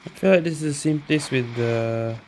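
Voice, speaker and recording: A woman giving brief, low-key, casual commentary while playing a game. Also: moderate pace, a little mellow, a little hiss or hum. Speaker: gaming video host.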